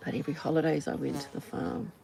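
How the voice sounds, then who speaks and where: A woman with a New Zealand accent musing aloud in short unhurried phrases with pauses, in an unscripted personal ramble recorded outdoors. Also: deep voice, lively intonation, creaky, mellow. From Auckland, New Zealand. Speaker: host of a personal ramble vlog. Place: outdoors on a farm doorstep. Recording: outdoor ambience, buzzing flies and insects. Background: flies, insects, farm animals.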